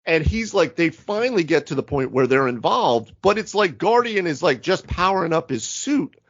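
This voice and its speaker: A man's voice: on the high side, very animated, moderate pace, dull audio. A man chatting casually as a podcast co-host about tabletop games, informal and slangy, often saying dude and right.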